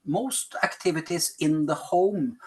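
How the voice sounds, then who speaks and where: A middle-aged man with a Norwegian accent, speaking English as a second language in a podcast interview, pausing often to find words. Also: a little high. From Norway. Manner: searches for words, frequent pauses and fillers. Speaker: health policy advisor.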